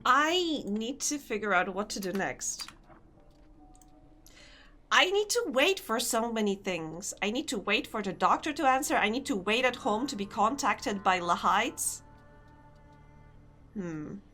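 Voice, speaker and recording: A woman's voice: a little high, animated, unhurried, pauses often, pushed and bright, faint music behind. A young woman with a Polish accent chatting casually with her stream chat while gaming, laughing often and answering comments. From Poland.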